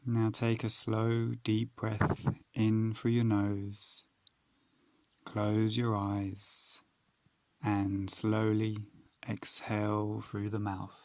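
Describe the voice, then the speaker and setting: A man leading a guided meditation in a calm, unhurried, instructional manner with long pauses. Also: lowish voice, no echo.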